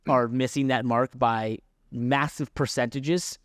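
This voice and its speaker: A man's voice: medium pitch, fairly even intonation, takes it slowly, no room sound, studio quality. Man explaining his take on a podcast. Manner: explanatory, self-correcting, interjecting. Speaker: podcast co-host and racing driver. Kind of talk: casual sports podcast discussion.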